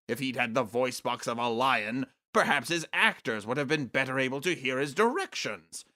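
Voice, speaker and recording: A man's voice: medium pitch, pushed and bright. A man voicing a pompous, sinister aristocratic snake-man, grandiose and melodramatic, in a theatrical comedic monologue. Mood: grandiose, fervent.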